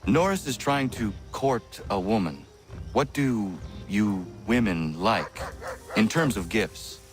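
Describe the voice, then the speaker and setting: A man playing a reserved young assassin in a video game, speaking in stiff, measured, formal phrases. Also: lowish voice, animated, faint music behind.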